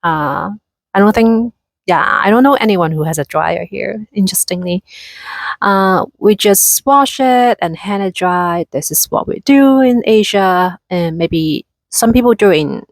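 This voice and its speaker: A woman's voice: mid-range voice, animated, a little slow, no echo. A woman in her thirties from Hong Kong with a Hong Kong accent, chatting casually in a vlog with many fillers and restarts. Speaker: vlogger.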